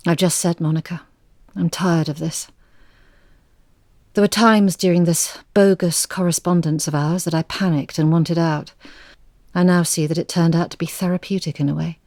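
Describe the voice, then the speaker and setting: A tired, resentful older woman in an audio drama, played by an actress. Her voice is lowish and soft-edged, with measured, reflective phrasing that stays firm. The sound is dry and close. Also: steady tone, no room sound, natural voice. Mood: weary, resentful. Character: weary, resentful older mother with thwarted writing dreams.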